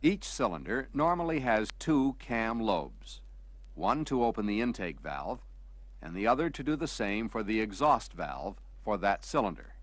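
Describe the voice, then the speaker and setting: A man reading scripted instructional narration for a training film, explaining in a measured, precise way. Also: lowish voice, sing-song, dry recording. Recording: old training film soundtrack.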